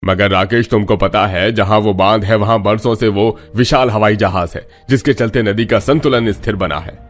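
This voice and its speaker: A man playing a low-voiced village headman. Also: fairly even intonation, moderate pace, close to an everyday voice. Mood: sober. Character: sober older village headman and farmer.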